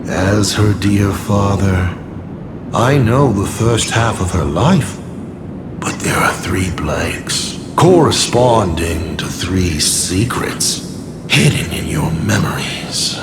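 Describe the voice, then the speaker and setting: A man voicing a scheming artist villain in a video game, with a grandiose, measured, taunting delivery. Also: sits low, expressive, vocal fry, very noisy, heavily acted. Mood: smug, theatrical. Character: theatrical scheming male artist villain playing games of secrets. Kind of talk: dramatic video game acting. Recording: loud music behind. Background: loud music.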